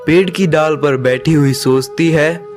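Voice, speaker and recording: A man's voice: fairly high pitch, measured pace, soft background music. A man reading scripted narration as the storyteller of a children's moral story.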